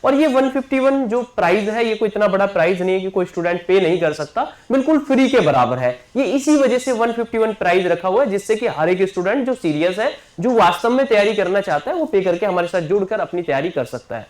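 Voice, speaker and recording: High-voiced coaching teacher. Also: male voice, animated, brisk, hardly any pauses. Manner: repetitive, keeps checking in with listeners. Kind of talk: promotional class announcement.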